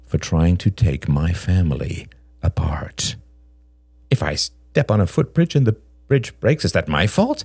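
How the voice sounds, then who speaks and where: Man acting deep, slow, menacing father. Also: sing-song, a little mellow, husky, no echo, full character voice. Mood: quiet menace. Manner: deliberate, contemptuous, accusing. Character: menacing, cold, aggrieved father confronting a rival.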